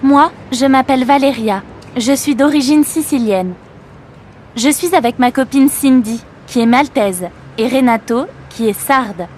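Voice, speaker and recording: Actress as eager young woman, really high voice, scripted interview, bit of background noise. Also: unhurried, a little mellow. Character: eager young Sicilian woman singer at a festival.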